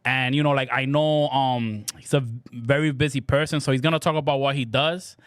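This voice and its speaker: A man's voice: mid-range voice, steady tone, fairly quick, clean tone, no echo. A man co-hosting a podcast in a small studio, talking easygoingly with many fillers and restarts. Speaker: podcast co-host. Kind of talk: casual podcast chat. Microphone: studio mic with headphones.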